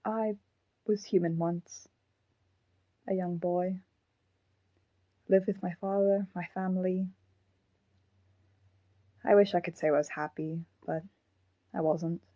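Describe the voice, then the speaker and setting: A woman voicing a sad, clinging haunted puppet with a young boy's ghost in it, in a halting, earnest fan audio drama performance. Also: lowish voice, warm tone, no room sound.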